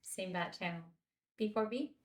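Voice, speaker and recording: A woman reading the part of a warm, teasing schoolteacher wife in her forties at a script table read. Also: mid-range voice, moderate pace, recorded from a distance, close to an everyday voice. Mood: teasing, affectionate.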